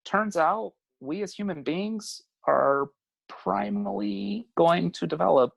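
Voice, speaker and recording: A man's voice: sits high, slow pace, gravelly, no room sound. A man in his late thirties, a family therapist talking candidly as an interview guest, reflective, laughing often and swearing casually. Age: 30s.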